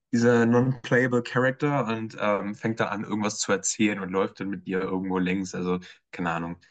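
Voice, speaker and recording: A man talks through a performance he saw in a loose, conversational review. His voice sits fairly low, and he often pauses, backtracks and fills gaps with hums as he gathers his thoughts. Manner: hesitant, many filler sounds, relaxed. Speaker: culture reviewer in an editorial team. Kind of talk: casual spoken review.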